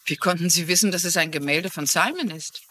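A woman's voice: lowish voice, fairly quick, a bit bright, a little hiss or hum, natural voice. An actress playing a shrewd, kindly older woman sleuth in a TV detective drama, probing with reasoned questions. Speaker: actress in a dubbed crime drama. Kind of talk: German-dubbed TV detective drama acting.

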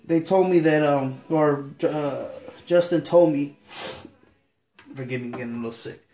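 A man with a congested, stuffy nose talks easygoingly to a camera, filling pauses with ums. His voice sits a bit high for a man. The small bathroom around him adds a noticeable echo. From Southern California. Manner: relaxed, rambling with many ums. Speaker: shaving vlogger. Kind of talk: casual chat to camera. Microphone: camera on a counter facing a mirror.

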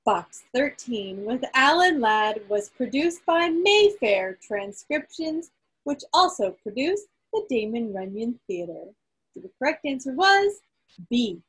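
A woman with a fairly high, slightly husky voice reads out quiz results as host. She speaks in a measured, emphatic way, recorded over a group video call. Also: big pitch swings, poor audio. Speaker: quiz host at a club meeting.

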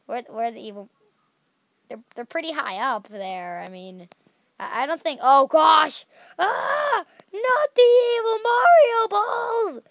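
A woman's voice: high-pitched, fairly bright tone, no room sound. A young woman hosting a game channel, chatting casually as she plays and squealing at danger, with a slightly stuffy nose. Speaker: gaming channel host.

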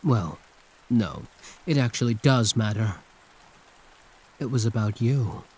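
A man's voice: mid-range voice, animated, slow pace, a little mellow, slightly rough. A man playing a condescending yet protective hero turned villain in an intimate, theatrical ASMR roleplay monologue. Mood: earnest, confiding.

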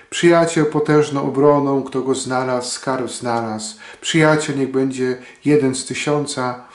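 A priest in his forties speaks very slowly and earnestly, with a gravelly edge to his voice. He pauses often between phrases. His voice echoes off the walls of a small room. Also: mid-range voice. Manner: slow, earnest, with long pauses. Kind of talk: short Sunday homily.

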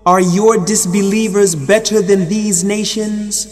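A man reading translated scripture solemnly, with measured, formal and deliberate phrasing. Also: high voice, fairly even intonation, darkish tone, faint music behind. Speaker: reader of Quran translation. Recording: long hall reverb.

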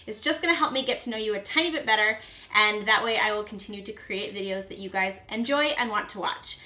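A woman's voice: medium pitch, pushed and bright. A young woman in her twenties, a cooking channel host talking viewers through recipes in a friendly, chatty way from her home kitchen. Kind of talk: upbeat recipe walkthrough.

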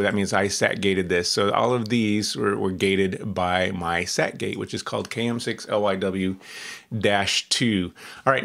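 A man in his fifties hosting a casual, relaxed tutorial from a small home radio room, chatting and thinking aloud as he demos on screen. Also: mid-range voice. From Oregon, US. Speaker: amateur radio channel host.

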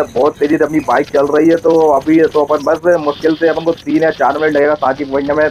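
Fast-talking central Indian man vlogging. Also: fairly high pitch, darkish tone, a little hiss or hum, poor audio. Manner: chatty, repetitive, addressing viewers as friends. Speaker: motovlogger. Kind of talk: casual running vlog commentary while riding. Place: outdoors on a motorbike on a road.

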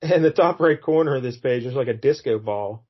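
A man's voice: mid-range voice, a little fast, slightly rough, lo-fi sound. A man co-hosting a podcast, chatting casually about a comic book with lots of fillers and chuckles. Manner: rambling, many fillers, chuckles. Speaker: podcast co-host.

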